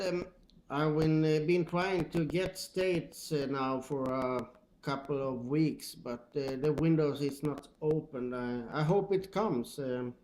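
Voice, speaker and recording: A man's voice: mid-range voice, darkish tone. A man with a Swedish accent chatting casually as an amateur radio operator over two-way radio, searching for words in English. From Sweden. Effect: two-way radio transmission. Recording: noisy two-way radio with clicks.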